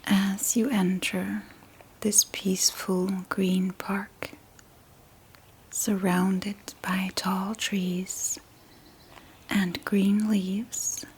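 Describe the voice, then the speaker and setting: A woman softly guiding a sleep meditation in a breathy ASMR whisper, soothing and gentle, with long pauses between short phrases. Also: medium pitch, level tone, slight background noise. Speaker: meditation guide.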